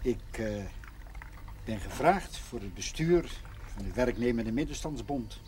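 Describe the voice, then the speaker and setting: Actor as lively older schoolteacher, gentle and modest. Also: male voice, mid-range voice, unhurried, a little hiss or hum, natural voice. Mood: modest, hesitant. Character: gentle, modest retired schoolteacher, earnest about social questions. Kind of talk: naturalistic 1970s television drama acting. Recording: 1970s television soundtrack with music.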